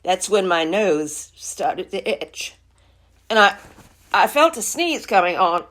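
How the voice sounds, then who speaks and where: Woman as a slow, theatrical storybook wolf. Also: medium pitch, very animated, fairly bright tone. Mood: mock-innocent. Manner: folksy, theatrical, mock-innocent. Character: indignant, self-excusing male wolf telling his side.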